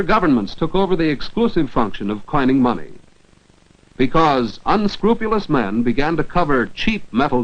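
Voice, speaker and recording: A man narrates a 1940s educational film in an American accent, on a dull old soundtrack. His pitch moves in lively sweeps as he instructs and enunciates each word precisely. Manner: didactic, rhetorical questions, precise enunciation.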